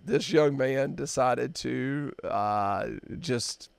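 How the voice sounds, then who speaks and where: A man in his fifties hosting a podcast, telling a story in a halting, drawn-out way with many fillers, at a desk mic in a dark studio. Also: medium pitch, vocal fry, smooth, dry recording. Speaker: podcast host. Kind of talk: casual storytelling chat.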